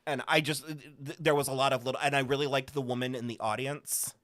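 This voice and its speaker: A man co-hosting a casual chat, animated and gushing, with a voice that is going hoarse. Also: mid-range voice, fast. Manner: animated, gushing, trails off and restarts. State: losing his voice. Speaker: podcast co-host. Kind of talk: casual chat while building.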